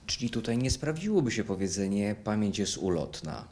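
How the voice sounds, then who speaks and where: A middle-aged man from Poland hosts a conversational interview. His voice is low-pitched. He puts his questions in halting, hesitant phrases. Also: very animated, a little mellow. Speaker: interviewer, host. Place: furnished living room, indoors.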